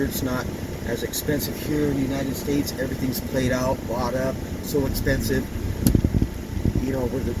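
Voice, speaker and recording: A man of fifty giving an opinionated, meandering monologue, full of "you know" fillers, while sitting in his truck. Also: medium pitch. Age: 50s. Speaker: vlogger. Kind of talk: rambling casual monologue. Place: inside a truck. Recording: distant mic, loud engine and road noise. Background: vehicle engine and air conditioning hum.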